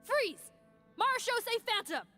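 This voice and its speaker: Commanding lady officer, forceful bright tone, lively pitch, game character. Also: unhurried, faint music behind, heavily acted. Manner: authoritative, firm. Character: brisk, commanding female law officer. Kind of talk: video game character voice acting.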